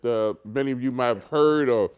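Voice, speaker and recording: A man's voice: mid-range voice, fairly quick, a little mellow, clean tone. A man co-hosting a community talk show, speaking earnestly and often restarting as he searches for words. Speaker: co-host. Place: local TV studio.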